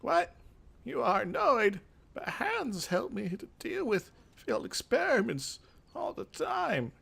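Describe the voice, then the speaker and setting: A fussy male mage played by a man reading a game aloud, pitched well up high. He sounds airy and patronizing, a theatrical fantasy craftmaster who talks down to newcomers. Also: gravelly, fairly bright tone, breathy edge, full character voice.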